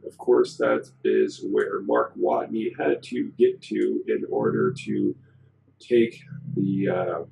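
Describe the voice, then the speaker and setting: A hoarse man with a cold walks through a game in casual, rambling commentary, full of fillers. His voice is raspy and gravelly and sits on the low side. A faint hiss runs under the recording. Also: darkish tone, poor audio. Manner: rambling, filler-heavy explaining. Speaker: gaming channel host.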